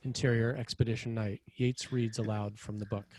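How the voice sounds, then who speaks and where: A man's voice: fairly low pitch, level tone, brisk, a little mellow, a little hiss or hum. A man narrating screenplay scene headings and action in a scripted read.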